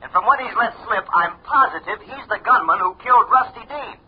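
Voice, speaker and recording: An American man playing an eager, excitable newspaper reporter in a 1950s radio drama. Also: extremely high pitch, a little fast. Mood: urgent. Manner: eager, animated. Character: eager, excitable male reporter, smitten best friend. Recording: muffled old radio, faint noise.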